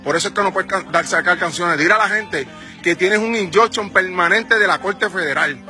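A man's voice: high voice, normal speed, bright, forceful tone, faint music behind. Heated Puerto Rican man venting outdoors. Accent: Puerto Rican Spanish. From Puerto Rico. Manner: heated, confrontational, rhetorical questions. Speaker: music manager. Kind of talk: angry selfie-video rant. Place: outdoors on a sunny residential street. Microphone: phone at arm's length.

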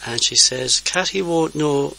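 A man's voice: on the high side, a little slow, vocal fry, pushed and bright, low-quality recording. A man hosting a radio interview, easygoing and fond of folksy sayings. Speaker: radio show host.

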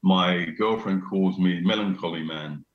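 A middle-aged man with a deep bass voice, chatting casually as a guest on a video call, repeating himself and laughing often. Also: a little slow. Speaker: venue owner, guest. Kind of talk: casual chat. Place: small room indoors. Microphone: video call webcam. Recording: roomy, dull video-call audio.

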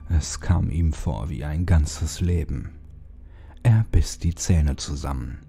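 A man narrating a horror story as an audiobook, in a deliberate, brooding and dramatic delivery with pauses. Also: on the low side, creaky, dark tone, slightly rough.

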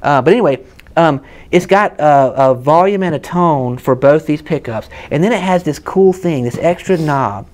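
A man's voice: fairly high pitch, moderate pace, darkish tone. Man, Southern US accent, thirties, casual guitar-shop talk, storytelling. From South Carolina, USA. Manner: chatty storytelling, explaining gear hands-on. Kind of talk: casual gear demo chat. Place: guitar shop room with hanging guitars, indoors.

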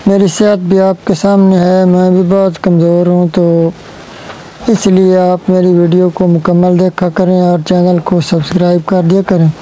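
A man's voice: sits high, completely flat delivery, mellow, a little hiss or hum, poor audio. A young man from Pakistan with a Pakistani Urdu accent, speaking earnestly to camera on a lapel mic outdoors in a village courtyard. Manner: slow, earnest, pleading. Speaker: village vlogger. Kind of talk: direct-to-camera appeal.

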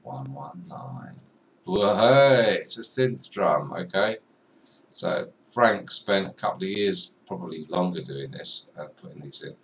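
Chatty deep-voiced guy tutoring. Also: animated, unhurried, vocal fry. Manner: chatty, self-deprecating, talks to viewer while clicking. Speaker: music software tutor. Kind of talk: screen-recorded software tutorial. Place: small room at a desk. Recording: slightly distant mic with faint room noise.

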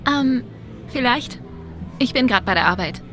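A woman's voice: fairly high pitch, animated, a little slow, over music. A young woman playing a friendly, light-hearted bar worker in a video game, in natural acting. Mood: amused.

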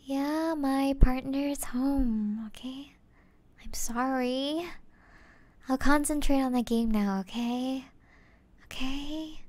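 A woman playing a sweet, affectionate young gamer girlfriend in a soft-spoken, gentle, hesitant ASMR roleplay. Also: high-pitched, takes it slowly. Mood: gentle, reassuring. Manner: gentle, cooing, giggly, hesitant.

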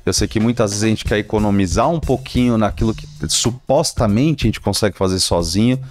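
A man's voice: on the low side, brisk, soft background music. A man in his thirties from Brazil hosting a car question show, explaining to camera in a conversational way on a studio microphone. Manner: conversational explainer, occasional fillers and restarts. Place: studio set, indoors. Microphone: studio mic on stand in front.

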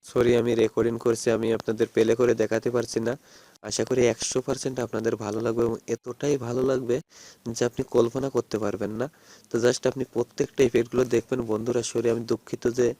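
Man, very quick delivery, dark mellow timbre, mid-pitched, casual tutorial host, repetitive phrasing. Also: flat delivery. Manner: repetitive, keeps addressing viewers as friends. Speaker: host of phone app tutorial videos. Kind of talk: casual screen-recorded tutorial.

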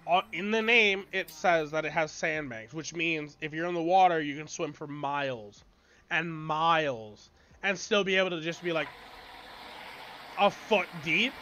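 A man in his late twenties streaming a game and chatting casually, excitable and swearing freely while talking over his friend. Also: fairly high pitch, a little slow, a little hiss or hum. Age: 20s. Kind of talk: casual chat while gaming. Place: small bedroom with colorful wall.

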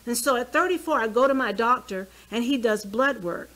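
A woman of sixty speaks from a church podium with a fairly high, bright voice. She talks in a lively, conversational way and keeps asking the listeners questions. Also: brisk, low-quality recording. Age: 60s. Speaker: health speaker. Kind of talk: church health talk. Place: small church hall.

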